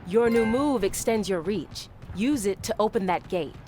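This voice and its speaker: A woman's voice: mid-range voice, lively intonation, moderate pace, mellow, faint music behind, close to an everyday voice. A woman playing a confident, spirited young adventurer in a video game, speaking with assurance. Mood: instructive. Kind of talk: video game cutscene voice acting.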